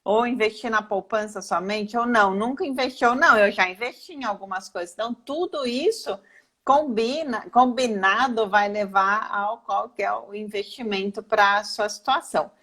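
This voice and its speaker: A woman with a Brazilian accent speaks at a middle pitch. She explains in an informal, teacherly way, often asking a question and then answering it herself, as an expert talking to a live online audience. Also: moderate pace, fairly bright tone. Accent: Brazilian Portuguese. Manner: didactic, poses questions and answers them herself, acts out sample replies.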